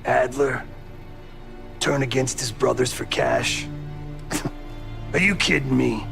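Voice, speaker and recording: A man playing a gruff, loyal, sidelined CIA operative in a video game cutscene, with a gravelly American accent and blunt, streetwise talk. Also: medium pitch, steady tone, slow, over music. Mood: bitter, incredulous.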